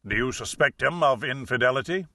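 A man's voice: mid-range voice, expressive, brisk, bright, forceful tone, no echo. A man voicing a curt, imperious fat detective. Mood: curt, impatient. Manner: formal, curt, grunting and muttering. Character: very fat, imperious, blunt male private detective.

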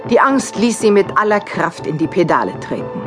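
An actress narrating a suspenseful horror tale in an ominous scripted storytelling manner. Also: female voice, on the low side, big pitch swings, normal speed. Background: music.

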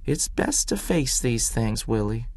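A man reading aloud and voicing a firm but kindly middle-aged woman country doctor who speaks slowly and insists gently. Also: mid-range voice, sing-song, dry recording.

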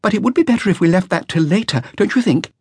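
A man's voice: high voice, expressive, fast, a little mellow, slightly rough, dry recording, clean studio sound, voice pushed up, heavily acted. A man voicing a nervous, earnest young male flautist telling what he witnessed. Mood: anxious. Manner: anxious, breathless, earnest.

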